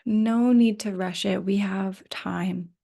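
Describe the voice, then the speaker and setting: A woman guiding a restful yoga nidra meditation in a gentle, unhurried manner with long pauses, her voice drifting into breathy near-whispers. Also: mid-range voice, steady tone. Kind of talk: guided rest meditation.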